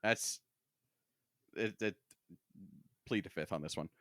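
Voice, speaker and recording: A man's voice: low voice, takes it slowly, fairly bright tone, no echo, clean studio sound. A man hosting a podcast in casual, wry banter, joking and swearing freely as he talks. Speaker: podcast host.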